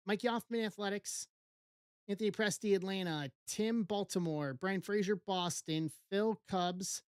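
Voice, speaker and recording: East Coast woman hosting a live break stream, unhurried list-reading, dry close mic. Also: mid-range voice, darkish tone, clear, resonant tone, no room sound. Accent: East Coast American. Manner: slow, reading out a list in short bursts. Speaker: card break host.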